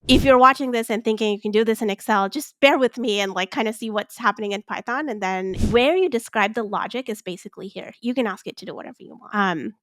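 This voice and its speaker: A woman walking through a software tutorial as a finance expert, in an informal, conversational way, often voicing example instructions and questions. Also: a little high, fast, few pauses, dry recording. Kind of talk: casual walkthrough demonstration.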